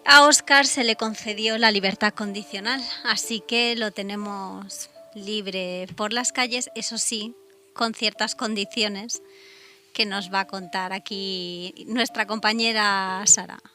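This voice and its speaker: A woman's voice: medium pitch, steady tone, takes it slowly, pushed and bright, faint music behind. A woman with a Spain accent explaining things casually as a radio panelist.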